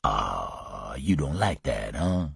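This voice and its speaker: A man voicing a cool, menacing Southern man with a Southern US accent, speaking low and slow in an audiobook. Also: sing-song, vocal fry, a bit bright, husky, dry recording, studio quality, heavily acted. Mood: taunting.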